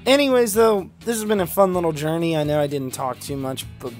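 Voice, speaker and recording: A man's voice: fairly high pitch, a little fast. A man giving casual gameplay commentary as a video host, rambling and sweary with an enthusiastic manner and a slight speech impediment. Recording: music playing behind the voice.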